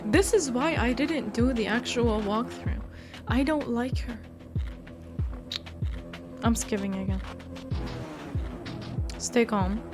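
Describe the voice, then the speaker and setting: A woman's voice: on the high side, takes it slowly, long pauses. A woman giving playful, sassy commentary as she hosts a game walkthrough, with joking asides and bits read aloud. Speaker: host of a visual novel walkthrough channel. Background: music.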